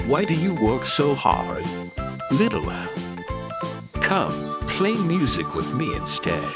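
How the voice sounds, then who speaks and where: Wildly expressive grasshopper, played by a man. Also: fairly high pitch, takes it slowly, loud music behind the voice, heavily acted. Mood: carefree, teasing. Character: carefree fiddle-playing grasshopper, idle and pleasure-loving. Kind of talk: children's fairy tale character acting.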